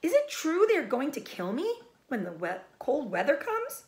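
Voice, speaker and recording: Woman voicing a high, panicky young boy pig. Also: wildly swooping pitch, moderate pace, darkish tone. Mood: panicked. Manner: wailing, screaming pleas. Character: panicky young male pig, childish, dramatic, pleading.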